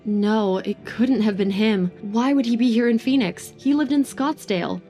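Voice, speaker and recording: A woman's voice: a little high, moderate pace, mellow, soft background music. A woman narrating a scripted romance audio drama.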